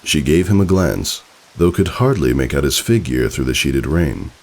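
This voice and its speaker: A man reading a story aloud as narrator, in an expressive, theatrical, dramatic style. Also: a bass voice, normal speed. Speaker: fan fiction narrator.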